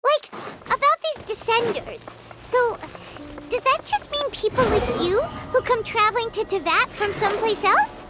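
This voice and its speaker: A woman voicing a tiny, excitable female fairy companion who talks about herself in the third person, with a high squeaky voice. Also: normal speed. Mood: curious. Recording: game music behind the voice.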